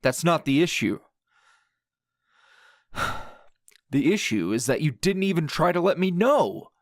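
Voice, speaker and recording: A man playing a grouchy, wounded anime hero in a dramatic ASMR roleplay, sardonic and halting in manner. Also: fairly high pitch, very animated, no room sound, clean studio sound. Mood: bitter, sarcastic. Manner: sardonic, halting, emotionally raw.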